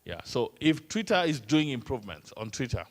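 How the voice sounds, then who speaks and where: A man's voice: mid-range voice, big pitch swings, fairly quick. Kenyan man quizzing a workshop room. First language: Swahili. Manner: teasing, asks the room many questions. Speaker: workshop trainer. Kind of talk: interactive training session.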